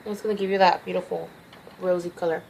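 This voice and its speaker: A woman's voice: fairly low pitch, steady tone, fast talker, creaky, darkish tone, slight background noise, rough recording. A young woman from Mexico with a Mexican accent, chatting through a makeup tutorial in a rambling, self-conscious way with many restarts. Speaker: makeup vlogger.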